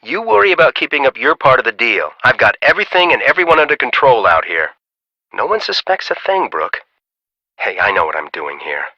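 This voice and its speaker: A brusque, secretive guy in a video game, with a medium-pitched voice in a very dry, close recording. He is curt and bossy, swinging his pitch with impatience. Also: big pitch swings, a little fast, sharp tone, dry recording, dull audio, heavily acted. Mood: defensive. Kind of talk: scripted game dialogue.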